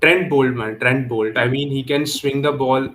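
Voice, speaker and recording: Even-toned man, casual sports analysis. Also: mid-range voice, moderate pace. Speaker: cricket pundit guest.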